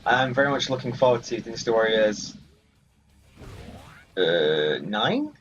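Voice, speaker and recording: A man's voice: on the low side, animated, a little slow. A man giving casual, rambling let's play commentary on a video game. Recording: muffled sound, game music behind. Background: video game music.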